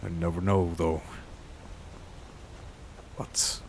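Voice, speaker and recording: A man voicing an earnest, wistful mercenary adventurer in his thirties for a fantasy game roleplay, in a halting, reflective way. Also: deep voice, flat delivery, creaky, slight background noise, heavily acted. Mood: musing. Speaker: role-playing gamer voicing his character. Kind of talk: in-character fantasy game monologue.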